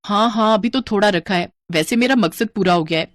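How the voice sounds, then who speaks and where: A woman's voice: mid-range voice, brisk, no room sound, studio quality, lightly acted. Woman acting a scheming jealous sister-in-law. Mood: smug.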